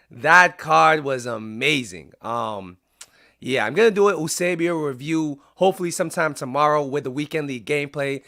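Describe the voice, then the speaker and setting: A man talking through video-game commentary in a casual, chatty way, sounding raspy because he is under the weather. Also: medium pitch, sharp tone. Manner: casual and chatty, with frequent "um" fillers. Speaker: YouTuber. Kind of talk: gaming commentary.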